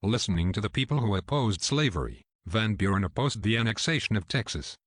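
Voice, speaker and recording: Low-pitched man narrating, very dry sound. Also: animated, brisk, fairly bright tone, no room sound. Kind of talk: scripted educational history narration.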